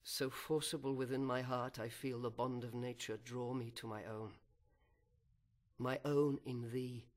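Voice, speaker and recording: A man playing a grave, resigned, devoted husband in a verse epic, speaking in a solemn, measured way. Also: mid-range voice, steady tone, close to an everyday voice. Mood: resigned devotion.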